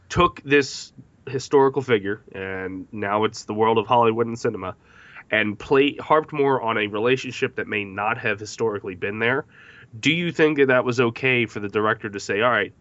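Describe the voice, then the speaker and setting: A man's voice: fairly low pitch, a bit bright, no room sound. A man hosting a film podcast, moderating the discussion and putting questions to panelists. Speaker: podcast host. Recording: dull lo-fi podcast audio.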